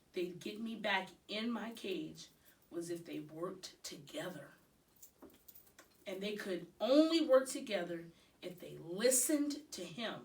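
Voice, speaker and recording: A woman reading a children's storybook aloud as an expressive narrator. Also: medium pitch, far from the mic. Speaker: audiobook reader.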